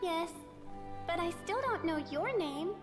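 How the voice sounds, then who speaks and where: A woman voicing a gentle, loving young girl spirit in a video game, with music behind her. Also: very high voice, animated, measured pace. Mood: serene.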